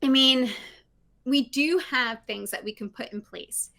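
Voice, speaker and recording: A middle-aged woman talks from her living room over a video call, her voice bright and a little high. She speaks with animation and keeps checking in with "right?" as she makes her case. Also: unhurried, creaky. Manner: animated, frequent "right?" tags, laughs. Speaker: guest, diversity consultant. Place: home living room with bookshelves. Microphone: video call, laptop mic.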